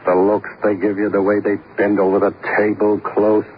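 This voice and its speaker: A man's voice: on the low side, monotone, moderate pace, natural voice. An actor playing a defensive, boastful married man with an American accent and slangy, protesting speech in a 1950s radio crime drama. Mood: sneering, defensive. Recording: muffled, hissy old radio broadcast.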